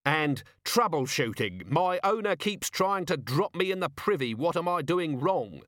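A worn-out, exasperated watch commander grumbles and rants, as a male narrator plays him, his voice rising and dropping with lively swings. He sounds close and dry, tired and grumbling. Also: fairly high pitch, measured pace, no room sound, pitched above the performer's own voice.

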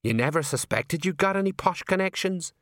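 A man's voice: high voice, animated, a little fast, a bit bright, no room sound, clean studio sound, pitched above the performer's own voice. A man voicing a plodding middle-aged male sergeant who fusses and gossips. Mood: fussing.

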